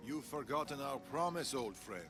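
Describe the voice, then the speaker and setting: An actor playing a grave, principled old Persian warrior in a video game, solemn and earnest. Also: male voice, mid-range voice, expressive, normal speed, over music, close to an everyday voice. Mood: grave reproach. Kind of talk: video game cutscene acting.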